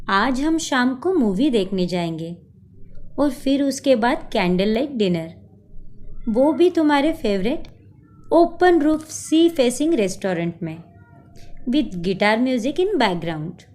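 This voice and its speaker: A woman's voice: a little high, lively intonation, slow, long pauses, darkish tone. A woman voicing a pushy, coquettish and possessive scheming rival in a melodramatic cartoon story. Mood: coaxing.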